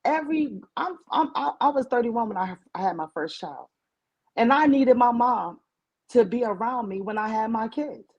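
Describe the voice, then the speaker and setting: Forty-something woman caller, firm and argumentative, husky voice. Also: fairly high pitch, animated, moderate pace, dull audio. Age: 40s. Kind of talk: casual call-in chat.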